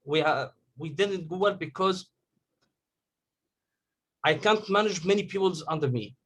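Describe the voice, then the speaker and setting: Egyptian guy on a video call, second-language english, lots of pauses and restarts, slightly high voice. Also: fairly bright tone, husky. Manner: halting, searching for words. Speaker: agency owner sharing story. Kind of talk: casual group call.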